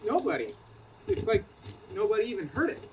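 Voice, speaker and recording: A man's voice: high-pitched, wildly swooping pitch, brisk. Man playing a distant, sheepish radio technician guy. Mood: puzzled. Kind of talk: comic stage acting. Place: outdoor community theater stage in parking lot. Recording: distant outdoor stage microphone, faint noise.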